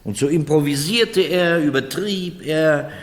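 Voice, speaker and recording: Actor as a touchy, vain gentleman, reverberant room. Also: fairly high pitch, unhurried, close to an everyday voice. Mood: reflective. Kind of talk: 1970s German radio play acting. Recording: reverberant room, vintage radio drama.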